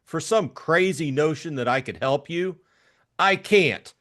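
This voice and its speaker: A man's voice: on the high side, expressive, measured pace, a bit bright. A man acting an irritable, blunt hard-boiled private detective in an audiobook drama. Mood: enraged. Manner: blunt, impatient.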